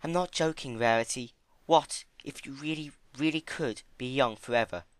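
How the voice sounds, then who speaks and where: A man voicing a gentle, timid female pony with a soft, hesitant manner in a dramatic storybook reading. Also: mid-range voice, normal speed, no room sound, voice pushed up, lightly acted. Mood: earnest. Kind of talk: dramatic fan fiction reading.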